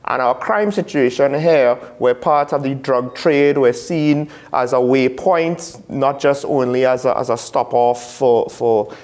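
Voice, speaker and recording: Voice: mid-range voice, a little slow, few pauses, darkish tone. Talk show host asking probing questions. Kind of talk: political talk show interviewing.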